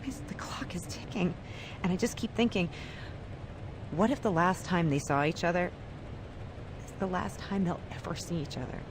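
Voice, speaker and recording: A woman voicing an anxious, soft-hearted space station crewmember in a game, earnest and naturalistic. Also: lowish voice, animated, normal speed, long pauses, noisy background, close to an everyday voice. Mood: anxious, pleading. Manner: earnest, worried.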